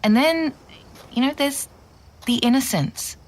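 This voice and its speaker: An actress playing an earnest, pushy young podcaster, insistent and persuasive, in audio drama. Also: female voice, high voice, measured pace. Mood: pleading, earnest. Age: young adult. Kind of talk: naturalistic audio drama acting.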